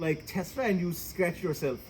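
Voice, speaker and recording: High-voiced Bangladeshi man joking around. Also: very fast, darkish tone, slight background noise, rough recording. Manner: profane, joking, switches between English and Bengali. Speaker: comedian host. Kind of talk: casual comedic chat.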